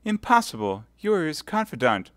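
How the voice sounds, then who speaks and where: A man's voice: mid-range voice, animated, measured pace, a bit bright, no echo, clean studio sound, voice pushed up. A man reading aloud voices a scheming captive noblewoman who pleads and prays in a tearful, quavering tone. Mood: pleading.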